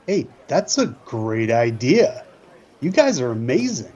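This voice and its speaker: Casting: easygoing filmmaker played by a man, mid pitch, husky, friendly, audio-play comedy, slightly muffled. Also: big pitch swings, unhurried, darkish tone. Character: friendly, casual indie filmmaker scouting talent.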